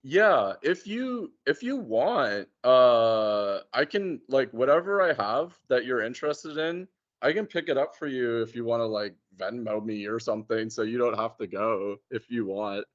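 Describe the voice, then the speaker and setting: A man in casual conversation, talking in a hesitant, halting way and often repeating words. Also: mid-range voice, animated. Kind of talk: casual chat. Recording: muffled, dull lo-fi sound.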